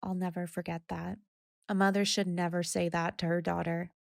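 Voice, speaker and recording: A woman's voice: on the low side, flat delivery, moderate pace, vocal fry, no room sound, studio quality. An actress playing a troubled, candid woman in her twenties, confessing in a subdued way in an audio drama. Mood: bitter. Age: 20s. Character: troubled, candid young woman recovering from anorexia. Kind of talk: audio drama monologue and dialogue.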